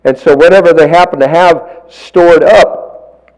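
A man preaching in church, telling folksy stories with self-deprecating asides and a loud, projecting voice on a stage microphone. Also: on the high side, fairly even intonation, measured pace, rough recording. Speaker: lay preacher. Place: church sanctuary. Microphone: stage microphone, walks around.

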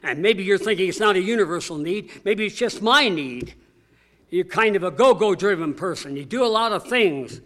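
Booming older pastor preaching a sermon. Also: male voice, lively intonation, a bit bright. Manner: booming, emphatic, slows on key words. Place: church.

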